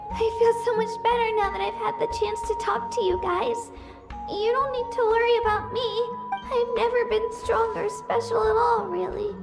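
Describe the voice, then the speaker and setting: A woman's voice: very high voice, fairly even intonation, normal speed, creaky. A woman voicing a small, humble, frightened young girl trying to be brave in a video game, speaking hesitantly and earnestly. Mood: bravely reassuring. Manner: hesitant, earnest, self-effacing. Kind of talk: English video game voice acting. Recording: music behind the voice.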